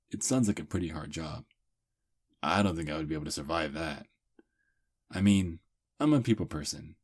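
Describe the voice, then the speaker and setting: Man acting a deep, husky, seductive vampire prince. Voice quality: husky, breathy. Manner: slow, courtly, softly seductive. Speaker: ASMR roleplay performer. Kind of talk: intimate ASMR roleplay acting.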